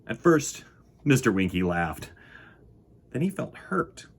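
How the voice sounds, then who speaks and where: A man reads a children's story with a hugely varied, swooping pitch and a husky edge. His delivery is theatrical and deliberate, made for storytime. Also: big pitch swings. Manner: dramatic, deliberate, with sound effects and exclamations. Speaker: storyteller reading a children's picture book.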